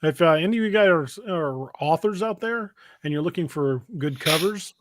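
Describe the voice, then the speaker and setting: A man hosts a casual livestream. His voice sits a little high and very dry, and he talks in a plain-spoken, rambling way. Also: expressive, moderate pace, a bit bright, no room sound. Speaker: podcast host and author.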